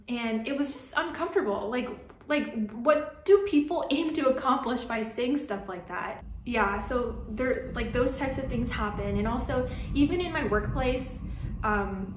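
A woman's voice: fairly high pitch, measured pace, roomy sound, background noise. A young woman from Toronto with a Canadian accent, chatting casually to the camera from her living-room sofa with lots of "like" and "um". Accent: Canadian English. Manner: chatty, lots of "like" and "um", gestures while talking. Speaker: vlogger. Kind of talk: casual vlog talking to camera.

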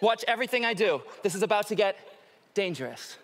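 A man's voice: sits high, animated, fast, noisy background. A man performing magic on stage, directing a judge and the audience with showy patter. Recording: live show with music and crowd.

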